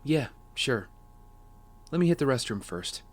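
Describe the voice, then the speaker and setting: A man's voice: medium pitch, very animated, unhurried. An actor playing an earnest, apologetic wealthy young man in an audio drama, speaking sincerely and politely. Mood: resigned, wry.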